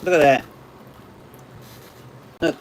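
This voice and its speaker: Man, Australian accent, slightly hoarse, unhurried, rambling livestream chat. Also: darkish tone. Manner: rambling, chatty, laughs often. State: tired voice after hours of talking. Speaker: livestream host. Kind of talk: casual live chat with viewers.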